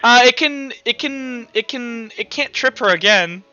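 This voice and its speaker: A man working as game master, improvising narration for a tabletop roleplaying game in a halting, repetitive way. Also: extremely high pitch, pushed and bright, clear, resonant tone. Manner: halting, repeats words while improvising. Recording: dull lo-fi audio with music behind. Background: background music.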